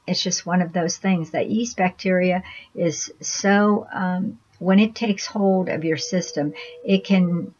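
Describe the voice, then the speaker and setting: Woman vlogger, unhurried rambling story to camera, lowish voice, muffled lo-fi sound. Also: steady tone, darkish tone. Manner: chatty, rambling, many restarts and laughs. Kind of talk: casual personal storytelling to camera.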